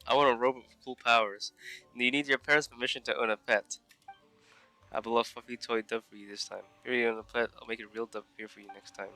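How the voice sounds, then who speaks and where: Casting: male game streamer, bright mid-pitched voice, casual commentary and read-aloud, faint music underneath. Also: fairly even intonation, fast.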